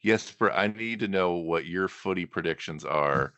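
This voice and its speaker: Brief: male podcast host, very low pitch, dry close sound, easygoing conversational manner. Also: moderate pace, no echo, highs cut off. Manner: relaxed, conversational, uses "like" as a filler.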